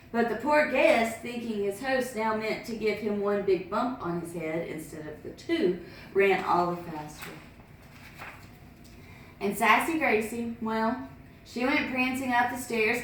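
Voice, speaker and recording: A woman's voice: on the high side, a little hiss or hum. Woman reading a story in an echoey room. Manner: lively, sing-song sound effects. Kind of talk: reading a picture book aloud. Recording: echoey room, distant mic.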